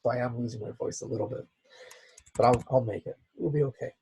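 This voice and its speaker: A man with a raspy, hoarse voice, as he is losing it, teaching a software class with many "okay"s. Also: medium pitch, fast talker. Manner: repeats "okay" as a filler. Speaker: instructor. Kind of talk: software tutorial lecture.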